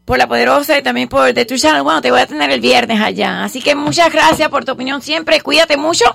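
A woman hosting a radio show, interviewing with brisk, quick follow-up questions. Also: sits high, creaky, fairly bright tone, no room sound, studio quality. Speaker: radio host.

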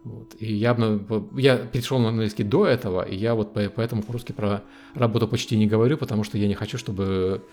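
A man's voice: lowish voice, steady tone, fast talker, hardly any pauses, mellow, faint music behind. A man chatting casually as a guest, hesitant with many fillers. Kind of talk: casual conversation. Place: living in the United States.

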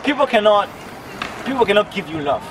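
A man's voice: sits high, very animated, fairly quick. A man, earnestly preaching outdoors and repeating himself often. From Zimbabwe. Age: 20s. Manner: earnest, repetitive, many restarts. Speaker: street evangelist. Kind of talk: interview answers, preaching. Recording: distant mic, loud outdoor traffic noise.

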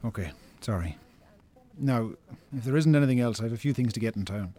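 An actor playing an exasperated, dry-tongued Irish brother chiding a drinker in a naturalistic radio drama. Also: male voice, medium pitch, lively intonation, fairly quick, dark tone, close to an everyday voice. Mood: exasperated, concerned.

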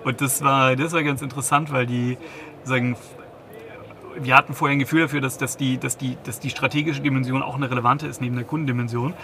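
A man's voice: medium pitch, fairly even intonation, fast, creaky, sharp tone. A man in his thirties, a company founder, giving a business interview, hesitant, with many restarts and fillers. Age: 30s.

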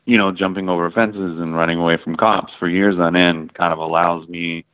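A low-pitched middle-aged American man from the Midwest tells stories in a loose, filler-heavy way, swearing casually as he goes. His voice comes through a narrow, phone-like line with a dry sound. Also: fairly even intonation, moderate pace, no room sound. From Ohio and Michigan, US. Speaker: guest photographer. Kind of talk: casual interview chat.

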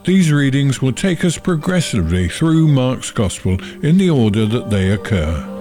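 Man leading prayer, lively rise and fall. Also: mid-range voice, normal speed, over music. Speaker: prayer service leader. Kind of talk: scripted religious address.

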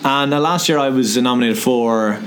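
A man's voice: medium pitch, moderate pace. A man in his twenties with an Irish accent, a radio presenter chatting as a guest in a modest, laid-back way. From Ireland. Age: 20s. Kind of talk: casual interview chat.

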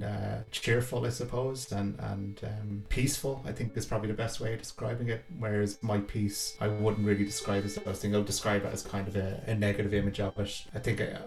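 A man who is a composer talking reflectively about his own work in a hesitant, thoughtful way. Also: fairly low pitch. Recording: roomy echo, faint music behind.

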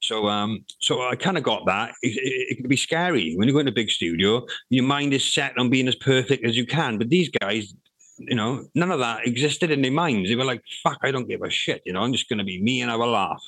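A man's voice: mid-range voice, a little fast, a bit bright, no room sound, low-quality recording. A middle-aged man, talking casually in an interview about his past as a hip hop artist, rambling and swearing freely.

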